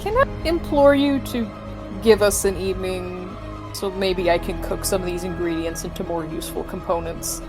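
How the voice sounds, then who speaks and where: A woman's voice: lowish voice, normal speed. A woman playing a tabletop game, bantering casually in a wry, joking way. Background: music.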